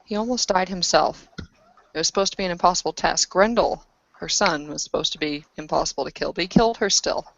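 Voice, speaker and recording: A woman improvising a story in a tabletop roleplaying session, speaking in a halting, measured way with pauses. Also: on the low side, creaky, a bit bright, dry recording. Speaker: game master and player narrating a tabletop roleplaying session. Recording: dull lo-fi audio.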